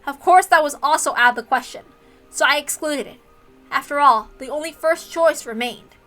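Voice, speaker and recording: A woman's voice: high voice, normal speed, a bit bright, faint music behind. A woman voicing a blunt, passionate young heiress, defiant and emphatic, speaking in slangy lines as in a visual novel.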